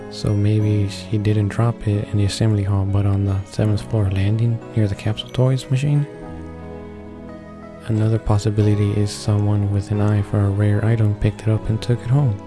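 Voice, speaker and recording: Guy reading out game narration, flat and mellow, stumbles a bit, faint music. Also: fairly low pitch, moderate pace. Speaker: video game let's player.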